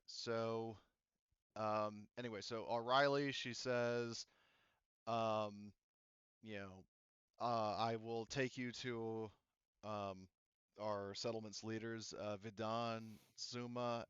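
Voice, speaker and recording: A man's voice: on the low side, a bit bright, smooth, no room sound. A man running an online tabletop game as game master, narrating in a rambling way and often losing his thread. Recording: dull, muffled audio.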